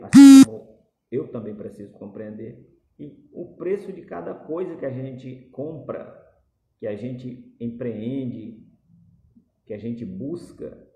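A man's voice: medium pitch, gravelly, dark tone, roomy sound, slight background noise, low-quality recording. A middle-aged man with a Brazilian accent, speaking earnestly and repetitively to a phone camera in a small indoor room. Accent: Brazilian Portuguese. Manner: earnest, repetitive, gestures while talking. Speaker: political commentator. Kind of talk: direct-to-camera opinion talk. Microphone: phone camera at arm's length.

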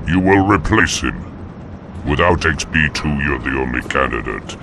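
A solemn, commanding god of death in a video game. The voice is extremely deep and gravelly, slow-paced, with a noisy rumble behind it. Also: male voice, lively intonation. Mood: stern, commanding. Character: stern, commanding jackal-headed male god of death. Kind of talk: cinematic game acting.

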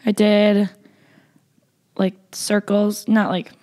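A young woman, a podcast guest, chatting bluntly and loudly with lots of "like" fillers. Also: medium pitch, level tone, slow pace. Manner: loud, blunt, frequent "like" fillers. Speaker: podcast guest, makeup artist. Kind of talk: casual interview chat.

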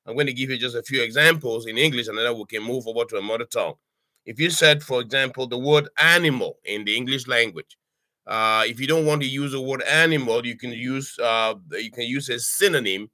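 An older man from Cameroon giving an informal online language lesson from his home study, speaking haltingly with many fillers and restarts and checking in with "okay?". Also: mid-range voice, sharp tone, no echo. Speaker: language tutor. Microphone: webcam on a video call.

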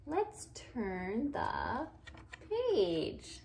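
A woman's voice: on the high side, huge pitch swings, extremely slow, gravelly, darkish tone. A woman doing an interactive picture-book read-aloud for young children, speaking in simple, exaggerated phrases with many questions. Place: living room at home, indoors.

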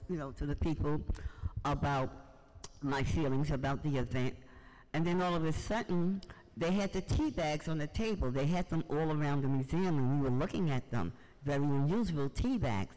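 A man's voice: on the high side, a little mellow. An older man from Harlem, telling personal stories unscripted as an audience member. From Harlem, New York, United States. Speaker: audience member, writer and poet. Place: large museum event hall, indoors. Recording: reverberant hall.

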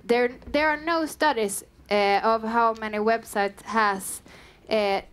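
Young woman, southern Swedish accent, fairly high voice; relaxed meetup presenter on a headset mic, chatty with lots of fillers. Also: slow, clean tone. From Sweden. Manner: chatty, frequent um and uh fillers, light self-deprecating humor. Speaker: content manager giving a meetup talk. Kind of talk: conference presentation. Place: dim meeting room with a small audience.

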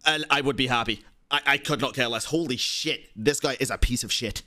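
A man's voice: medium pitch, brisk, pushed and bright. A man in his thirties streaming a game at a studio mic at home, reacting with heated, profane, sarcastic commentary. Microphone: studio mic with headphones.

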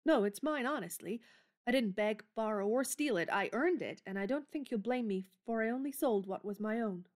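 Actress as a spirited, blunt young woman, fairly high voice. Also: normal speed, natural voice. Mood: defiant.

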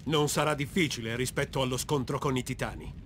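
A man playing a steady, plain-spoken soldier companion in an Italian video game dub. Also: medium pitch, fairly quick, faint music behind, natural voice. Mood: matter-of-fact.

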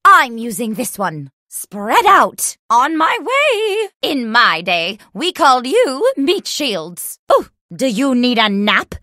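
A woman voicing an eccentric old granny witch in a video game, theatrical, doting and teasing with drawn-out exclamations. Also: on the high side, huge pitch swings, bright, forceful tone, no echo, studio quality, heavily acted. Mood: doting, sly. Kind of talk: video game character voice lines.

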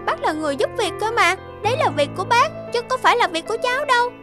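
A woman's voice: very high-pitched, expressive, rapid-fire, music in the background. A woman playing a spoiled, bossy little girl in a cartoon, with a whiny, demanding manner. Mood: bratty, defiant. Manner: bratty, demanding. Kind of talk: cartoon dubbing.

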